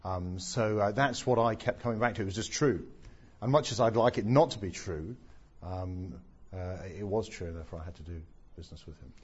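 A man's voice: lowish voice, a little fast, a little mellow, breathy edge, dull audio. A middle-aged Englishman giving his testimony in an onstage interview, with dry self-deprecating humour and plenty of ums. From England.

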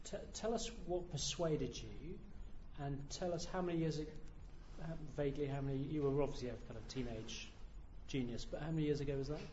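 A man's voice: a little high, mellow. A man hosting a church talk event who interviews a guest with teasing questions and then reads from the Bible. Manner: teasing, quick questions, stumbles on words. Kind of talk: onstage interview and Bible reading. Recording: far, roomy hall, muffled, faint hiss.

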